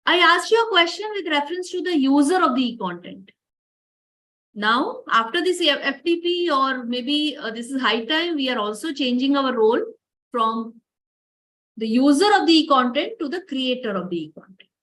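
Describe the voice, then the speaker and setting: Brief: high-pitched woman, earnest online workshop lecturer on a video call. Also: expressive, moderate pace, fairly bright tone. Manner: earnest, repeats words, addresses participants directly.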